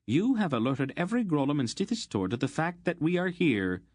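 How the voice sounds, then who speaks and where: A man voicing an ancient, stern sorceress woman who speaks in a cold, clipped, scathing way, raised well above his own low voice. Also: big pitch swings, a little slow, darkish tone, no echo. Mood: furious.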